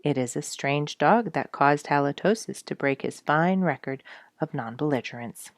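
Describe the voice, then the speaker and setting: Low-voiced woman reading a story, dry recording. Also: moderate pace, no echo. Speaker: storyteller reading an audiobook chapter. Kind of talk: expressive storytelling narration of a nature book.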